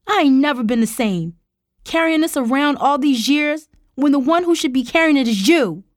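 A woman's voice: mid-range voice, animated, moderate pace, no echo, studio quality, close to an everyday voice. A woman voicing a fiercely protective young woman who confronts people intensely, in audiobook style storytelling. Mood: furious, wounded. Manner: growling, hissing, shouting confrontationally.

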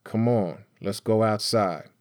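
A man voicing a wiry, sickly, menacing older gun dealer in an audiobook, rough and raspy, with blunt street slang. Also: fairly low pitch, slow, a little mellow, no room sound, studio quality. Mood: probing, cool.

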